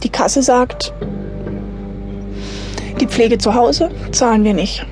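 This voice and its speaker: Woman in her 30s, fairly high voice, scripted autobiographical narration, short deliberate phrases, clear music behind. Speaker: narrator telling her own life story.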